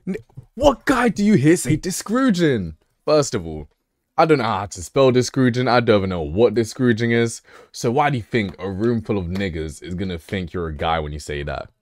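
A man reacting live to a video, with loud, mocking and profane banter. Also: fairly low pitch, very animated, fairly quick, dry recording. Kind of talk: casual live-stream commentary.

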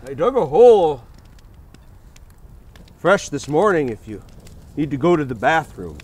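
A man in his thirties with a fairly high, swooping voice hams up grave, earnest lines for comic effect. He speaks outdoors near a stadium, close to a handheld mic. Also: very animated, unhurried, darkish tone. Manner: theatrical, gravely earnest delivery played for laughs. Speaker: comedy show co-host. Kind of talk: mock-dramatic comedy sketch and sports show banter. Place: outdoors in snow beside a stadium.

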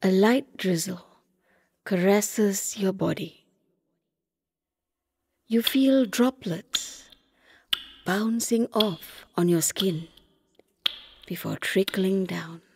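A woman narrating a scripted guided meditation softly and gently, often close to a whisper, with long unhurried pauses. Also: mid-range voice, background noise. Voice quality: soft, breathy, often near whisper.

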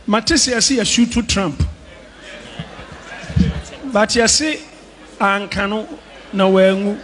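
An older man with a Ghanaian accent preaching on a church stage, mixing Twi and English, joking and shouting with a handheld mic. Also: sits high, takes it slowly, a faint crowd. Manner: animated, joking, shouting at times. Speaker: pastor. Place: large indoor church hall. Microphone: handheld microphone.

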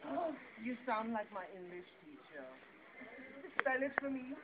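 A high-voiced woman tells a story at a live children's puppet show. She is theatrical and playful, chatting with her young listeners. The recording is thin and phone-like, with plenty of noise behind her. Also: animated, measured pace. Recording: tinny lo-fi phone-like, very noisy event.

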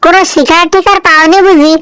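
A performer voicing a cunning, smooth-talking cartoon fox who coaxes with false friendliness. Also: very high-pitched, fairly even intonation, quick pace, pushed and bright, dry recording. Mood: coaxing, friendly. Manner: coaxing, wheedling, falsely friendly. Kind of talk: children's folk-tale cartoon dialogue.

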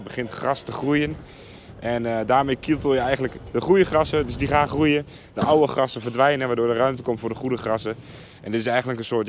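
Young farmer guy vlogging, casual explainer, mid pitch, windy outdoor audio w machinery noise. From Netherlands. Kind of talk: casual vlog narration to camera. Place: outdoors on a farm and in a tractor cab. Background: wind and vehicle noise.

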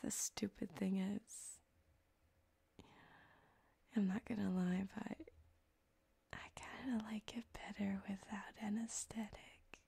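A woman's voice: medium pitch, steady tone, slow pace, gravelly, long pauses, dark tone, breathy edge, exaggerated voice. A woman playing a flirty, sadistic cyberpunk surgeon in an ASMR roleplay, coaxing and teasing, drifting into whispers on a close microphone. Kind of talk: ASMR roleplay, intimate one-sided scene. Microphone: close binaural microphone.

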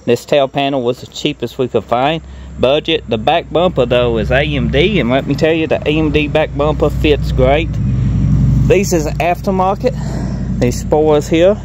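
A man's voice: mid-range voice, background noise. A middle-aged man giving a casual hands-on walkthrough in a plain-spoken, folksy way, recorded outdoors on a handheld phone. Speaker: car restoration vlogger. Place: outdoors in a grassy gravel yard. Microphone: handheld phone at arm's length.

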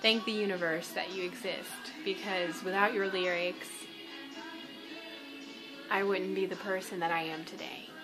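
A shy young woman speaks in a giggly, self-conscious way at an ordinary pitch. Music plays clearly behind her voice the whole time. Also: fairly even intonation, a little slow, rough recording.

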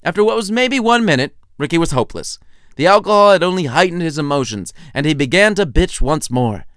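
A man's voice: fairly high pitch, expressive, fairly quick, fairly bright tone, no echo, studio quality. A man reading his own story as a wry, theatrical narrator. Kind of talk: lively scripted storytelling narration.

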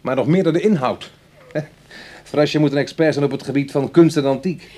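An actor playing a wry, sceptical ex-burglar in a radio drama, husky and brooding, with hesitant conversational delivery. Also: male voice, a little high, normal speed, mellow, close to an everyday voice. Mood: teasing. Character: wry, sceptical male ex-burglar on a prison committee. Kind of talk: Dutch radio drama acting.

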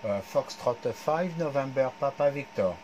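A man's voice: mid-range voice, animated, moderate pace, noisy background. A middle-aged man with a French accent making amateur radio contacts, spelling out call signs phonetically. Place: small home radio room. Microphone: headset.

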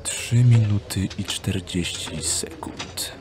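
Wary rogue, male actor, hushed self-muttering for a fantasy audio drama, noise behind. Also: on the low side, slow pace. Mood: calculating, hushed. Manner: hushed, muttering under his breath. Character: wary male thief muttering to himself at night.

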